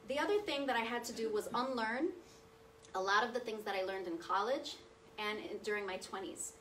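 A woman's voice: fairly high pitch, brisk, a bit bright. Chatty lady giving a talk, kinda far from the mic, faint hum behind. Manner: chatty, conversational, checks in with listeners. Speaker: guest speaker. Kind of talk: personal testimony talk to an audience. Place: meeting room with projector screen. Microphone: far camera, speaking seated at a table. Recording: distant roomy sound from across the room.

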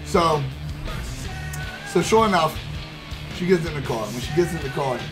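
A man's voice: a little high, animated, normal speed, rough recording. A young man streaming from a headset at his gaming desk, telling a long story in a loose, profane, rambling way full of fillers. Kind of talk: casual storytelling while gaming. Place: indoor room at gaming desk. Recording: background guitar music playing.

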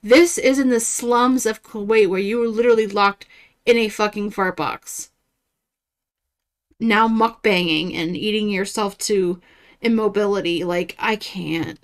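A woman's voice: mid-range voice, a little slow. A woman in her thirties giving casual reaction commentary in a blunt, sarcastic and profane way. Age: 30s.

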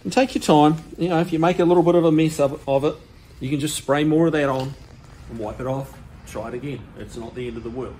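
A man in his sixties with a New Zealand accent, showing car restoration work in a workshop in a relaxed, practical, plain-spoken way. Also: on the high side, fast talker, background noise. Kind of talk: casual how-to demonstration. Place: large car workshop, indoors.

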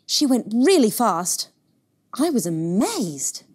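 A woman's voice: fairly high pitch, big pitch swings, slow, darkish tone, slightly rough, heavily acted. Woman playing a lonely, earnest little boy, lilting. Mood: shyly delighted. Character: lonely, timid, polite young boy. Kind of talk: picture book read-aloud.

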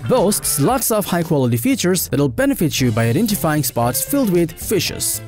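A man's voice: a little high, very animated, moderate pace. A man reading a scripted product review voice-over in an upbeat, promotional manner. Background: soft background music.

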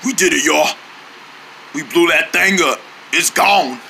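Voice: medium pitch, a little slow, gravelly. A performer voicing a brave, boastful male general in a cartoon, talking in folksy, slangy tough talk. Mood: proud. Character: brave, swaggering, boastful male general, self-appointed hero. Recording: noticeable background noise.

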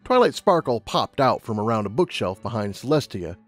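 A man's voice: medium pitch, big pitch swings, fairly quick, soft background music. A man reading scripted audiobook narration as the narrator of a story.

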